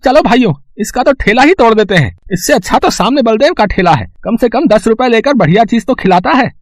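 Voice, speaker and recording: An excitable street customer, pitched far up and swinging widely. The manner is emphatic and exclamatory, quick to flare up, in cartoon storytelling style with a dry, close sound. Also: male voice, dry recording. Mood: disgusted, angry. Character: excitable high-voiced male street-food customers, quick to anger. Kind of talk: cartoon moral story character voice.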